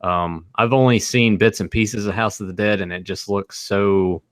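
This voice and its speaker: A man's voice: fairly low pitch, steady tone, normal speed, fairly bright tone, clean tone, no room sound, studio quality. A man hosting a podcast in a casual, conversational style, steering the discussion among friends. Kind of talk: casual chat.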